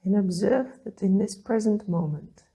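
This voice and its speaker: A woman leading a guided meditation, speaking in a soothing, gentle way with long pauses between phrases. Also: mid-range voice, slightly rough. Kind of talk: guided meditation, calm instruction.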